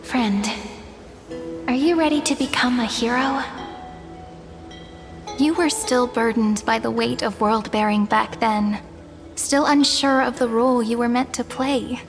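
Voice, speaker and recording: A tender, wistful young woman from a story-driven game, speaking in a soft, earnest and reflective way. Her voice sits a little high. A game score plays clearly beneath her. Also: steady tone, unhurried, long pauses, music in the background. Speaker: game character. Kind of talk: game cutscene voice acting.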